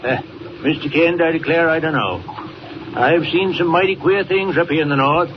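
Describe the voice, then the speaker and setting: A man acts out an old icebreaker captain for a 1940s radio serial. He is folksy and superstitious, with rustic dialect and a high-pitched voice. The sound is dull and thick with old broadcast noise. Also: normal speed, natural voice. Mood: folksy, wary. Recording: muffled, very noisy 1940 radio recording.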